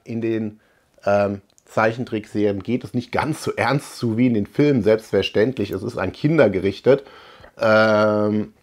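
A man in his forties hosting a casual review video, talking conversationally and haltingly as he thinks aloud. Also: medium pitch. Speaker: host of a retro cartoon review channel. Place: small room lined with shelves, indoors.

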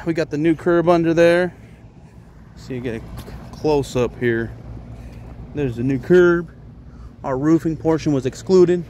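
A man's voice: medium pitch, a little mellow. Plain-spoken man on a noisy rooftop. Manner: plain-spoken, informal, explanatory. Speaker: HVAC contractor presenting a job. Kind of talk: casual on-site walkthrough narration. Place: outdoors on a commercial rooftop. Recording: outdoor handheld recording with ambient noise.

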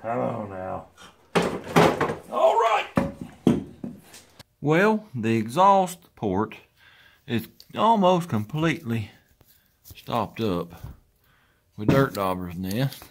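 A man's voice: medium pitch, wildly swooping pitch, very slow, gravelly, pauses often, very noisy. Easygoing man talking through a garage repair. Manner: easygoing, wry, talks to himself while working. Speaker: garage channel host. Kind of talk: casual repair commentary. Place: large garage workshop, indoors.

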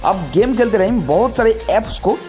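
A man's voice: high voice, expressive, brisk, warm tone, soft background music. A man narrating a scripted tutorial as a gaming channel host, explaining step by step and addressing viewers directly.

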